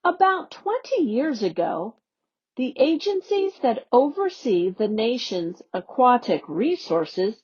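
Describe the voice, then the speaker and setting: A woman educator reading a children's newsletter aloud in a slow, deliberate, teacherly way. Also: a little high, a little mellow, husky. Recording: dull, lo-fi recording.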